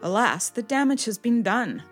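A woman playing a wise, dignified badger abbess who speaks with formal, measured authority in a children's audio drama. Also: a little high, expressive, faint music behind, natural voice. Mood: dignified, grave.

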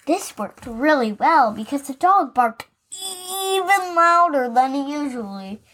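A woman reading a children's picture book aloud as podcast host, in an animated, expressive way with asides. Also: high-pitched. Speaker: story reader, podcast host.

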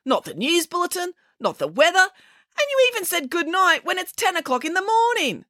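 A woman's voice: high-pitched, very animated, normal speed, fairly bright tone, dry recording, studio quality, voice pushed up, heavily acted. A woman voicing an indignant, flustered television news director in a children's story, protesting and wailing. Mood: indignant, frantic. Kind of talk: acted children's storybook dialogue.

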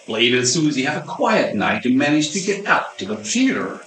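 A man's voice: fairly high pitch, very animated, moderate pace, fairly bright tone, echoey room, soft background music. A man narrating and playing a showman in an amateur radio play. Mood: announcing. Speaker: narrator and announcer.

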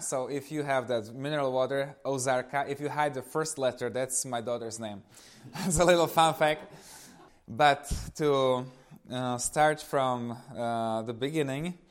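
A man in his thirties with a Slovak accent and a mid-range voice gives an informal personal testimony. He talks to his audience as a guest speaker. His storytelling is animated, with self-amused asides. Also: mid-range voice, unhurried. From eastern Slovakia.

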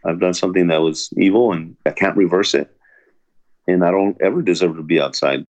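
A man's voice: sits low, fairly even intonation, brisk, darkish tone, dull audio. A man telling his own story of prison in an earnest, plain way, with restarts. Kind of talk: personal testimony interview.

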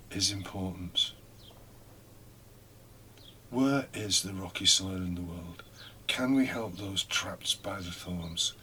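Male homilist, forceful edge, measured slow delivery full of pauses and pointed questions. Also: low-pitched, slight background noise. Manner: deliberate, with pauses and rhetorical questions. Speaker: preacher giving a scripture reflection. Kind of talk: reflective homily.

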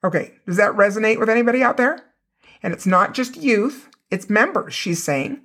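A woman hosts a casual faith podcast in a hoarse, raw voice. Her pitch sits in an ordinary middle range. She talks conversationally and pauses to repeat the passages she reads aloud. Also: lively intonation, fairly bright tone. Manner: conversational, rereads and repeats quoted passages for emphasis. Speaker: podcast host. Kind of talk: casual religious podcast talk.

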